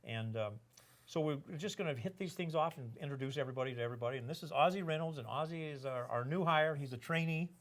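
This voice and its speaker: A man's voice: on the high side, quick pace. An older man hosting a casual podcast, rambling and joking, with lots of fillers and restarts. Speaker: podcast host, organization executive.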